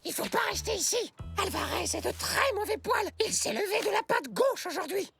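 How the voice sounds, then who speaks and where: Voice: extremely high pitch, expressive, fairly quick, creaky, over music, exaggerated voice. An actor voicing a small, sly animal in a French cartoon, speaking in an urgent, conspiratorial manner. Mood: conspiratorial warning. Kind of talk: French cartoon dub acting.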